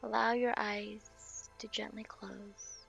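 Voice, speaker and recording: A woman guiding a meditation in a soft, slow, half-whispered voice. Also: medium pitch, level tone, vocal fry, faint music behind. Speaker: meditation guide. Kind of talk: guided meditation.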